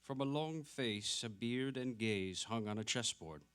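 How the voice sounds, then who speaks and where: A man's voice: medium pitch, measured pace, a bit bright, clear, resonant tone. A man narrating literary prose in a scripted dramatic reading.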